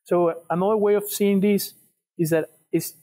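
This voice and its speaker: A man in his thirties with an Ecuadorian Spanish accent, explaining research in an interview in a measured, slightly halting way over a video call. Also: high-pitched, steady tone, warm tone. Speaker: guest, animal science PhD researcher. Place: empty classroom, indoors. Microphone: wired earphones on a video call.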